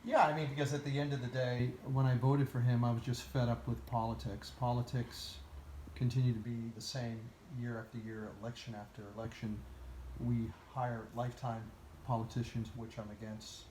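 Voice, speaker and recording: A man from Portugal talking casually in a conversation, giving his views at a measured pace and pausing often with "uh". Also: medium pitch, little pitch movement, mellow, roomy sound, slight background noise, rough recording. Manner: measured, lists places and reasons, with many "uh" pauses. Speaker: interviewee.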